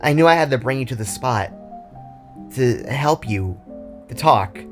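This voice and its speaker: Playful, caring young girl for a narrated story, performed by a man, lively pitch, soft music bed. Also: a little slow, lightly acted. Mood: gentle, hesitant. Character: teasing, caring young girl, comforting friend. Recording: music behind the voice.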